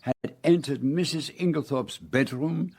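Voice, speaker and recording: An actor playing a fussy, courteous Belgian detective in a radio drama, with a French-speaking Belgian accent and precise, formal speech. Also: male voice, a little high, measured pace, a little mellow, breathy edge. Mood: measured, expository. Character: fussy, theatrical middle-aged Belgian male detective.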